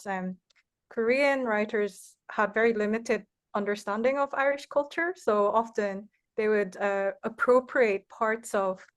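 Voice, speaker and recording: A woman explaining a research point as a panelist in an academic discussion. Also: mid-range voice.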